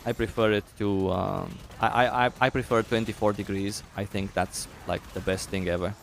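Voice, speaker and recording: A man's voice: on the low side, steady tone, moderate pace, vocal fry, a little hiss or hum. A young man with a Romanian accent chatting casually with viewers on a live game stream, thinking aloud as he answers chat.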